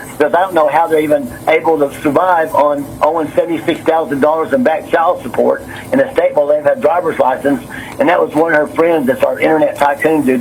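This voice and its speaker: Nervous, rambling man on a noisy call. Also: a little high. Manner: agitated, repetitive, stumbling over words. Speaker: city councilman and business owner on a phone call. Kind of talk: rambling phone conversation. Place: on a telephone. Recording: noisy recorded phone call.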